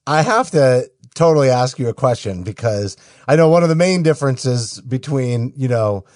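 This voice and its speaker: A man from Maine hosts a podcast interview, asking questions in a friendly, chatty way. His voice sits at an ordinary pitch, recorded dry and close with no room sound. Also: expressive, moderate pace, a little mellow, dry recording. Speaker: podcast host.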